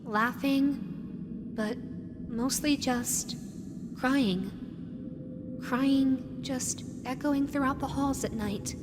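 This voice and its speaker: A woman's voice: high voice, noisy background. A woman voicing a lonely, possessive animatronic fox with a childlike, sweetly sinister manner, dreamy and lingering, in an audio roleplay. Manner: dreamy, lingering, repeats words softly. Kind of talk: scripted audio roleplay addressed to the listener. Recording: long hall-like reverb, music underneath. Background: background music.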